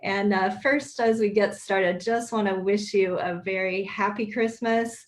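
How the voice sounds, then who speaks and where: A woman's voice: medium pitch, steady tone, unhurried. A woman hosting a casual video call chat, upbeat and friendly, with frequent fillers. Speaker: host.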